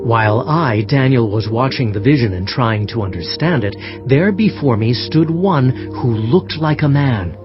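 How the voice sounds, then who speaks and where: An earnest prophet played by a man recounts scripture in a solemn, expressive way at a medium pitch. The recording is a bit dull, with music in the background. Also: unhurried, hardly any pauses, lightly acted. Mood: solemn, awed. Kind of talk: dramatized scripture narration.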